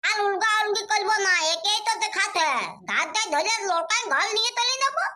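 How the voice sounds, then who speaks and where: A childlike village cartoon character with a very high, piercingly bright voice. The words come out with a babyish lisp in a Purulia Bengali accent. The character bargains in a nagging, pleading way. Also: a little fast, heavily acted. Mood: haggling. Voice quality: very high, squeaky, babyish lisped consonants. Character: childlike cartoon villager haggling eagerly with an old seller. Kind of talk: comedy cartoon dialogue.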